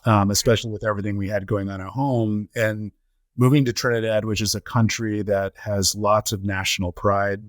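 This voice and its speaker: A middle-aged man with a fairly low voice speaks in a measured, reflective way, pausing often on ums and uhs as he answers questions. The sound is close and dry, with no room around it. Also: fairly even intonation, no room sound. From United States. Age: 40s to 50s. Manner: measured, many filler "uh"s and "um"s. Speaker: podcast guest, nonprofit CEO.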